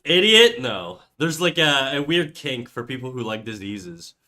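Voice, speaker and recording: Young man, stuffy nose, nasal, casual swearing chat, bright tone. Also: medium pitch, lively intonation, unhurried. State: congested, nasal from a cold. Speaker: streamer. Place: home room.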